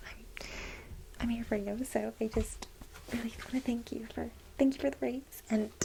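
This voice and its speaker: A woman playing a fawning, gossipy office worker in a soft-spoken ASMR role play, breathy and hesitant. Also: medium pitch, lightly acted, poor audio. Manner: hesitant, halting, nervous giggles, ingratiating. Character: fawning, gossipy female office employee sweet on her boss.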